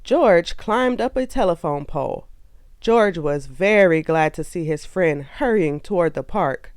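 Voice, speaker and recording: A woman narrating a children's storybook aloud in an expressive, animated way. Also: on the low side, measured pace.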